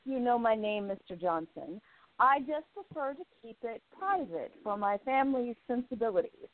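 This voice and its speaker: Woman playing a brash flapper columnist, lively swooping delivery, tinny phone-line sound. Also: fairly high pitch, normal speed, no room sound. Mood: confident. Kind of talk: amateur radio play acting.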